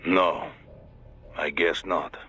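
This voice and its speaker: A man voicing a grave, formal older mentor in a video game, speaking in a measured, disapproving manner. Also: a bass voice, fairly even intonation, bright, forceful tone, full character voice. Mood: grave, concerned. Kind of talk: dramatic video game voice acting. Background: music.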